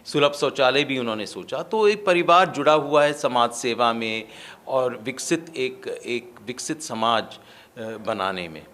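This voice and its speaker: A man's voice: mid-range voice, animated, measured pace, a bit bright. A man from India answering interview questions as a political candidate, speaking earnestly with fillers and restarts. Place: his family home.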